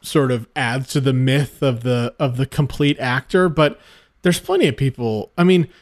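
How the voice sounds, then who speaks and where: A man's voice: mid-range voice, moderate pace. A man co-hosting a film podcast, thinking aloud and rambling through his point with restarts. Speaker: podcast co-host. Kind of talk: casual film discussion.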